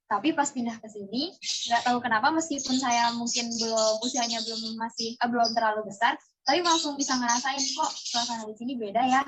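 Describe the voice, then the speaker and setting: A woman's voice: a little high, fairly even intonation, a little fast, fairly bright tone, breathy edge, rough recording. A young woman from East Java answering interview questions in a chatty way, with many hesitations and fillers. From East Java, Indonesia.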